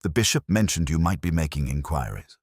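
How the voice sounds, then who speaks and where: A man voicing a brisk, composed young woman secretary in a mystery story, clipped, cool and formal. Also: rumbling low, lively intonation, no room sound, clean studio sound, exaggerated voice. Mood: guarded.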